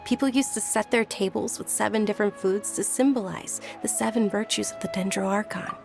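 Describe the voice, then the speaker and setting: A woman's voice: medium pitch, fairly even intonation, a little fast, vocal fry, over music, close to an everyday voice. An actress playing a polite, composed young woman who explains festival customs in a video game. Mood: informative. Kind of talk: video game character acting.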